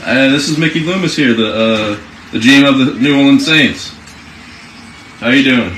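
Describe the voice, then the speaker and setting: A young man speaks at a middling pitch, as if making a casual phone call. The room is very echoey, with faint noise behind him. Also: moderate pace, a bit bright. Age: young adult. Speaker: prank caller.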